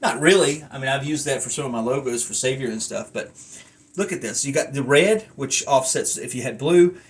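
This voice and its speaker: A man's voice: mid-range voice, a little fast. Man rambling through a casual hands-on review. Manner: rambling, thinking aloud with asides. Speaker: collector reviewing a wrestling belt. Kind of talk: casual unscripted product review.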